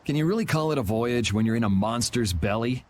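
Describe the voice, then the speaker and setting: Man as a wry, animated young adventurer. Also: mid-range voice, a little fast, slight background noise, natural voice. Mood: sardonic. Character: cool, wry, laid-back young male adventurer. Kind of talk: English video game dub acting.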